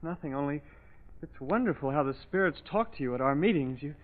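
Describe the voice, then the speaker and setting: On a thin vintage radio recording, a timid seance devotee played by a male actor dithers meekly. His fairly high voice swoops about with hesitant, fawning awe. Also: animated, brisk, narrow, tinny audio, close to an everyday voice. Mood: timid, wistful. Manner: hesitant, meek, repeating himself. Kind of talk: old-time radio drama acting.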